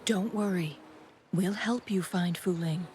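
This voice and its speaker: An actress playing a composed, courteous female warrior in a video game, measured and polite. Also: lowish voice, warm tone, a little hiss or hum, lightly acted. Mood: calm, reassuring. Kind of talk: scripted game dialogue.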